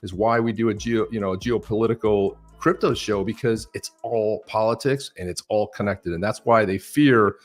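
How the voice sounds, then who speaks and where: A married man co-hosting a casual live stream chat, talking in an animated way with slang and swearing. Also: lowish voice, moderate pace, few pauses, soft background music. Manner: animated, swearing, talks to others with "man" and "dude". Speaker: co-host.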